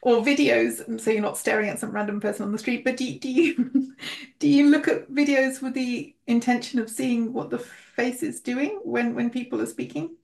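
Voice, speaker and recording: English woman co-host, earnest and chatty, gravelly fry in her voice. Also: fairly high pitch, steady tone, normal speed, darkish tone. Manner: earnest, laughs often, restarts. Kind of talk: casual conversation.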